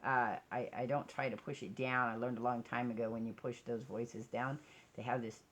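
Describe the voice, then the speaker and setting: A woman with a strikingly low voice talks in a casual personal vlog. She rambles informally and candidly, drifting between thoughts and often changing track mid-sentence. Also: level tone, normal speed. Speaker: vlogger.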